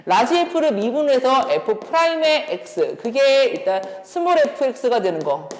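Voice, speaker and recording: A woman's voice: sits high, sing-song, unhurried. A woman teaching as a math instructor, explaining exam solutions step by step and often posing questions as she goes. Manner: instructive, poses questions while working through steps. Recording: echoey room with long reverb.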